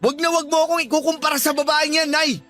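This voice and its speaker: An actor playing a scornful, resentful grown son and young father in a Filipino radio drama, confrontational and shouting. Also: extremely high pitch, fairly even intonation, fast talker, faint music behind. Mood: defiant, furious.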